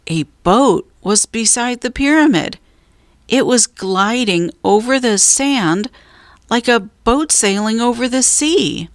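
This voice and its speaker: A woman reading a children's story aloud as a narrator, in a measured, deliberate way with drawn-out emphasis. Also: medium pitch, dry recording, clean studio sound. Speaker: storybook reader.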